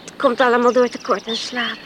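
A woman's voice: a little high, level tone, fairly quick, background noise, close to an everyday voice. An actress in a Dutch radio play as a tired, dutiful farm woman who makes light of her weakness. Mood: weary, reassuring.

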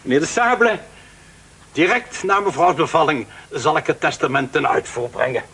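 A man's voice: fairly high pitch, very animated, moderate pace, crowd noise. An actor in a radio drama playing a formal, obliging male notary with a fussy, ceremonious manner. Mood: congratulatory.

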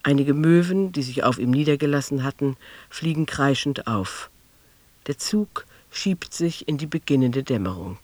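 A man narrating a literary radio drama in a deliberate, observational manner. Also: medium pitch, animated, no room sound.

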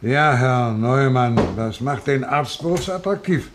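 An actor in a radio crime drama playing a brisk, wry police inspector who questions with authority. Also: male voice, medium pitch, lively intonation, close to an everyday voice. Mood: wry, probing. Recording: noisy old radio play recording.

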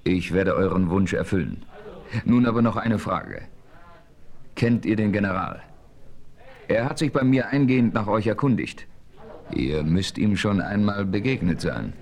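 A man playing a calm, thoughtful frontier hero who narrates his western adventure in a radio play with measured, formal diction. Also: fairly low pitch. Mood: earnest, probing. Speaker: narrator and lead character.

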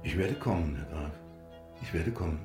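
A man in a German radio drama playing a proud, uneasy gentleman prosecutor, formal and guarded. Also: low voice, moderate pace, roomy sound, over music, close to an everyday voice. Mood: reluctant, uneasy.

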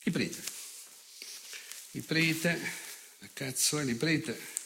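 An unrepentant old fascist delivering a stage monologue. His voice is sharp, forceful and pitched rather high for a man. He gloats with sardonic relish, declaiming and repeating words with heavy pauses. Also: gravelly, slight background noise, heavily acted. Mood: gloating. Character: unrepentant, nostalgic old Italian fascist recounting war crimes.

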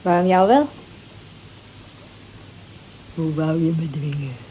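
A woman's voice: low voice, lively intonation, slow, warm tone, slight background noise, poor audio. An actress playing a brazen, flirtatious married woman who drinks sherry, teasing and mocking in a radio drama. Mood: defiant. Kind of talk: Dutch radio drama acting.

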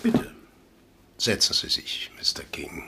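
A man playing the menacing chief of a secretive agency in a radio play, with a husky, breathy voice and a measured, politely condescending manner. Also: sharp tone, in a whisper. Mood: smugly polite.

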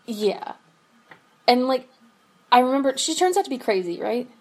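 A woman's voice: high voice, big pitch swings, normal speed. A young woman co-hosting a podcast over a remote call, chatting casually and giggling often, with lots of fillers. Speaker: podcast co-host. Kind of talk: casual chat.

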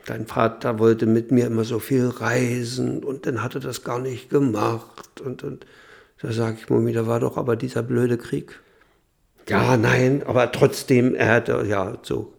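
Older male interviewee, very creaky mid-range voice, reminiscing haltingly with sudden emphatic bursts. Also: medium pitch. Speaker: interviewee, son recalling his parents. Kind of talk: spontaneous reminiscence.

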